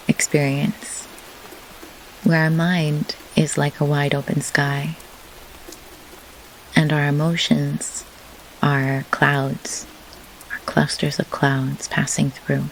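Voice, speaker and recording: A woman leading a guided meditation in measured, deliberate phrases with pauses, as a host who works as a grief doula. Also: sits low, poor audio. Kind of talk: slow guided meditation instruction. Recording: clear pink-noise rain hiss behind. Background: pink noise like steady rain.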